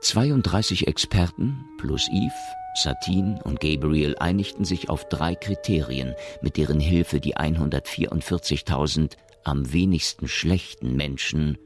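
A man narrating a science fiction radio drama in a measured, matter-of-fact, gravelly voice. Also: a bass voice, expressive, full character voice. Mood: sober. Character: sober male narrator of a science fiction story. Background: music.

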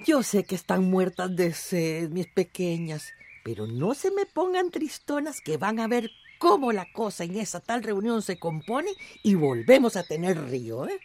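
A woman's voice: medium pitch, big pitch swings, a little slow, a little mellow, faint music behind. An actress playing a caring, teasing Central American countrywoman in a radio drama, affectionate and coaxing. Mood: tender coaxing. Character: motherly, chatty village countrywoman, caring and teasing.

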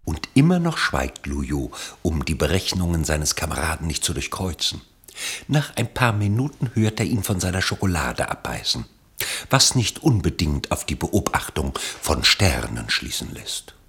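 Very deep, husky male narrator. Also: very animated, normal speed, fairly bright tone. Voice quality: husky, gravelly. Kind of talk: scripted literary narration in a radio play.

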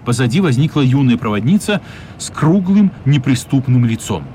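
An actor narrating a children's radio play as an expressive, literary storyteller. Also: male voice, medium pitch, moderate pace, a little hiss or hum, close to an everyday voice. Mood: descriptive.

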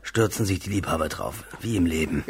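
Cheeky grumbly servant in a radio play, lowish creaky voice, bouncy delivery. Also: male voice, animated, fairly quick. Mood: amused, mocking. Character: cheeky, blunt manservant and gardener, earthy and grumbling. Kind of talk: German radio play acting.

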